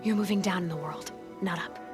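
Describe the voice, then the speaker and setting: An actress playing a blunt, defiant young captive hunter in a video game, scornful and challenging. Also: female voice, fairly low pitch, a little fast, natural voice. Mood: mocking challenge. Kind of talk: dramatic video game acting. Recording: dramatic music behind the voice. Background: music.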